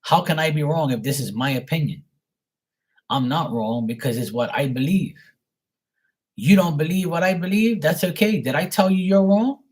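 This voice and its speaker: Husky, blunt man chatting casually. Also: a little high, moderate pace, a little mellow. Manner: blunt, opinionated, swears freely. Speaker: live tarot reader, streamer. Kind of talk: casual rambling live chat.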